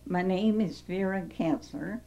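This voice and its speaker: A woman, gently recalling where her family lived. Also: mid-range voice, unhurried, faint music behind, rough recording. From Western North Carolina. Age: 90s. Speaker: interviewee.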